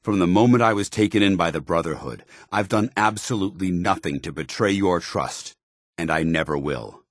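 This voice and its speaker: An actor playing an earnest, honour-bound soldier paladin in a video game, with a firm voice and grave, formal, deliberate delivery. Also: male voice, deep voice, no room sound, studio quality. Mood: solemn, sincere. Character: earnest, honour-bound military paladin, a synthetic man troubled by his identity. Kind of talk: dramatic video game dialogue.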